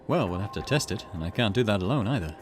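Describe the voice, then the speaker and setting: Man reading as a low-voiced, calculating young rival. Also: lively intonation, fast, over music, lightly acted. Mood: calm, persuasive. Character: confident young male rival curse bearer, coolly calculating.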